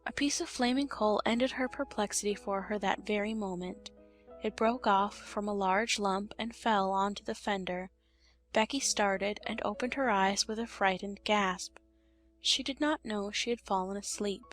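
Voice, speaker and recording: A woman reading a children's story as an expressive audiobook narrator. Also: medium pitch, moderate pace, soft background music.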